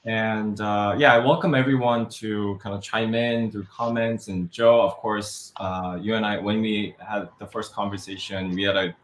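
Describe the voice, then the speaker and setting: A man's voice: fairly low pitch, fairly even intonation, moderate pace, hardly any pauses. Hesitant Korean-accented man in an echoey room. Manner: hesitant, with many fillers. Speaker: startup advisor and guest. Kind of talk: casual webinar conversation.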